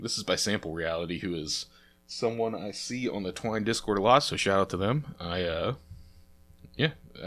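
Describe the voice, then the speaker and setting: A man's voice: low-pitched, fairly bright tone. A young man with a stuffy, sniffly nose from a cold, casually commenting while playing through games as host and rambling with many fillers. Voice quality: sniffly, congested. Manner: chatty, rambling, lots of uh fillers. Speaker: let's play host. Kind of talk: casual game playthrough commentary.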